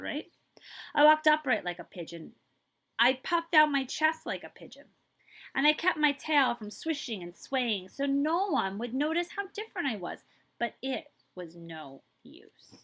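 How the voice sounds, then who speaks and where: A woman reads a children's story aloud in a bright, slightly high voice. Her delivery is animated and expressive, swinging widely in pitch and leaning slowly on key words. She speaks straight to her young listeners as a librarian would at story time. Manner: expressive, drawn-out emphasis, checks in with listeners. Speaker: librarian reading a picture book aloud.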